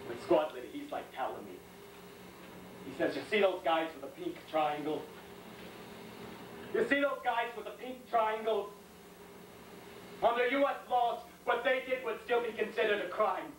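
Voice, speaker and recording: A man playing a shaken young American World War II soldier in a dramatic stage monologue, speaking in plain, halting, intense colloquial speech. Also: sits high, expressive. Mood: confused, uneasy. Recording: echoey room, distant mic, faint noise.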